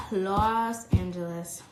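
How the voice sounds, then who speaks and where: A woman's voice: mid-range voice, roomy sound, low-quality recording. Chatty young woman on a phone livestream. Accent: New York. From New York. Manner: chatty, lots of "like" and "um", reads comments aloud. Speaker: livestreamer answering fan questions. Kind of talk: casual live Q&A. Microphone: phone at arm's length.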